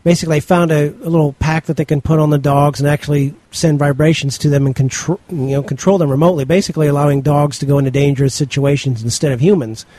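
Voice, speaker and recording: A middle-aged man with a mellow, relaxed voice leads a loose podcast conversation. He rambles easily and breaks off with casual asides. The recording is dry and close. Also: on the high side, quick pace, hardly any pauses, no room sound. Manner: relaxed, rambling, reads out article titles. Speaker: podcast host. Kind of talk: casual panel chat.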